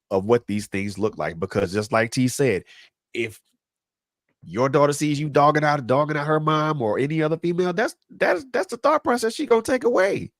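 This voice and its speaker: A man's voice: medium pitch, no room sound, studio quality. Emphatic man repeating himself for effect. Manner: emphatic, preacherly repetition of phrases. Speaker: panel guest. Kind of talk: panel discussion.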